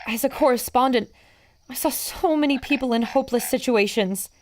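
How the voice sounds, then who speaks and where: An actress playing a plucky, determined war correspondent, earnest and expressive, narrating her adventure in an audio drama. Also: high voice, a little fast, a little hiss or hum, close to an everyday voice. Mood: troubled.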